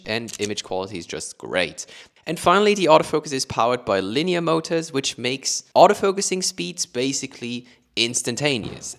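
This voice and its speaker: Young Luxembourgish man, international English accent, mid pitch with very animated swings, methodical explainer. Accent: international mix, Luxembourgish with British-taught English. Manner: explanatory, rephrases with "let's say" and "additionally". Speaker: photography YouTuber. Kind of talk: talking to camera about gear.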